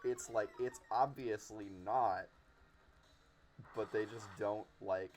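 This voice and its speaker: A young man hosting a casual podcast chat, speaking informally and haltingly, often repeating his words. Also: on the low side, steady tone, faint music behind. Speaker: host.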